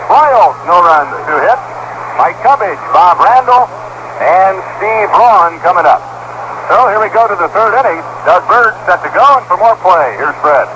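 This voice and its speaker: An American man gives a live baseball recap as a radio announcer. His pitch is on the higher side. Clear noise runs through the 1970s broadcast recording. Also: animated, moderate pace.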